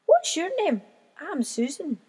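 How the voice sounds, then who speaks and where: A friendly young female gull quizzes a newcomer in a forthright, bouncy voice, as a Scottish woman puts her on. Her pitch sits high and swings freely, a bright storybook bird. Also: wildly swooping pitch, a little slow, long reverb tail, heavily acted.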